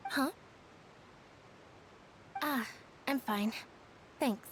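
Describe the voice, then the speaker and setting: A woman's voice: sits high, very slow, slight background noise. A woman voicing a troubled, reserved young woman in a video game, subdued and withdrawn. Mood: wistful. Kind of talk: video game character dialogue.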